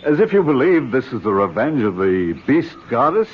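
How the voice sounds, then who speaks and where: An actor in a radio drama playing a skeptical senior doctor, authoritative and reasoning, but guarded and unsettled. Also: male voice, medium pitch, sing-song, normal speed, natural voice. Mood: guarded, calming. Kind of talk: old-time radio drama acting. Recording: dull, noisy old radio recording.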